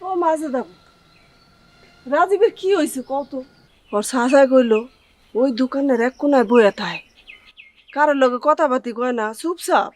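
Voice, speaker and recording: An actress playing a chatty, inquisitive village auntie in a drama, talking in a familiar, gossipy way with a rural Bangladeshi accent. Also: female voice, high voice, lively intonation, moderate pace, pauses often, darkish tone. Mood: nosy, concerned. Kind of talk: TV drama acting in dialect.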